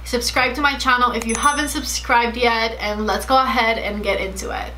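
A Cuban woman in her late twenties talks straight to the camera while doing her makeup, with a bright, fairly high voice. She is chatty and friendly and explains her story at length. Her English carries a Cuban Spanish accent. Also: fairly even intonation, normal speed, slight background noise, poor audio. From Cuba. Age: 20s. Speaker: beauty vlogger. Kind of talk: chatty get-ready-with-me Q&A. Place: bright indoor room with shelves. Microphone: camera in front at desk distance.

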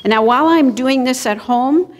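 A woman's voice: high-pitched, moderate pace, slight background noise. A middle-aged woman giving an informal live cooking demonstration in a conversational, explanatory way. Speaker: cooking class presenter. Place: church kitchen hall, indoors, before an audience. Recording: echoey hall, live event recording.

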